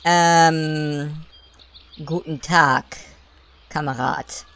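A woman's voice: sits low, fairly even intonation, very slow, fairly bright tone, clear, resonant tone, exaggerated voice. A woman voicing a puny male gnome advisor in a comic audio play, fawning, whiny and pompously hesitant.

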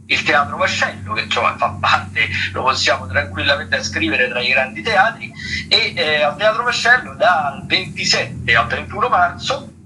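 A man giving an informal radio rundown as a theatre critic, halting and digressive, rephrasing as he goes. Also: fairly high pitch, expressive, noisy background. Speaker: radio theatre critic reviewing and listing shows. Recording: distant radio mic with music behind. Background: music.

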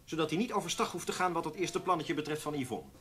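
An actor playing a vain, ambitious young journalist, insistent and sure of himself, in a radio drama. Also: male voice, a little high, fast, fairly bright tone, soft background music, lightly acted. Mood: insistent, irritated. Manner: insistent, self-assured.

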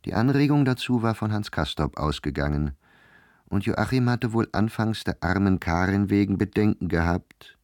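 A man narrating a literary radio drama in measured, formal storytelling. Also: on the low side, animated, a little mellow, dry recording, clean studio sound.

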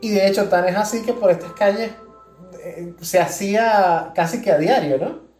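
Casual podcast co-host: Venezuelan woman, soft dark tone, roomy echoing sound with faint music underneath. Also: medium pitch, moderate pace.

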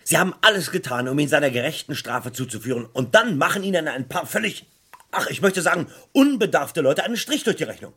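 A man playing a disillusioned, bitter police detective in a crime radio play, speaking emphatically in a husky voice. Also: fairly high pitch, big pitch swings, brisk, sharp tone, exaggerated voice. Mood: indignant. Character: embittered, disillusioned English police forensic detective. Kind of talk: German crime radio play, recounting a case.

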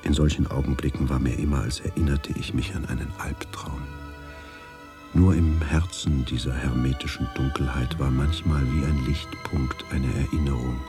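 An actor playing a haunted, brooding man who recalls a wartime childhood in a hushed, measured, introspective radio drama narration. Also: rumbling low. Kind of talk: radio drama, reflective first-person narration with dialogue.

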